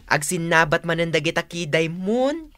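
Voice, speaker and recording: A woman's voice: fairly low pitch, expressive, moderate pace, a bit bright. A woman voicing a cheeky, plaintive younger sibling at a food stall, wheedling with an Ilocano accent in a radio drama. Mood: whiny, wheedling.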